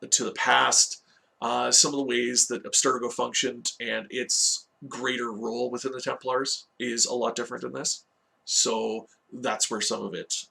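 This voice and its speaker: Gravelly, bright-voiced man reviewing. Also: medium pitch. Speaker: host of a video game movie review series. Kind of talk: casual scripted-outline review, talking to viewers.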